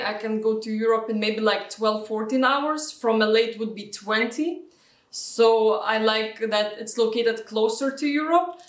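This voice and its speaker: Woman, Lithuanian accent, fairly high voice, echoey room, distant video-call audio, chatty with fillers. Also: fairly bright tone. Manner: chatty, frequent fillers and restarts. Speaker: guest, real estate agent. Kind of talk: casual interview answers.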